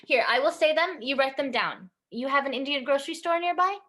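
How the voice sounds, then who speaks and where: Actress doing a strict traditional indian mom, fairly high voice, firm and fussy, staged reading. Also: female voice, lively intonation, fairly bright tone. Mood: brisk, instructive. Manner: firm, halting, instructive. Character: stern, traditional middle-aged Indian immigrant mother, fussy about cooking. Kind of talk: staged reading over video call.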